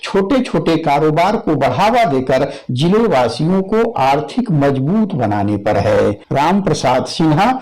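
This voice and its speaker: A man's voice: on the high side, big pitch swings, measured pace, few pauses, darkish tone, rough recording. A man delivers a scripted field report as a radio news correspondent.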